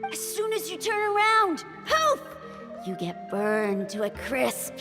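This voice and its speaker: A woman voicing a small, friendly village child in a video game who tells stories in a dramatic, deliberate way. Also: sits high, sing-song, long reverb tail, over music, heavily acted.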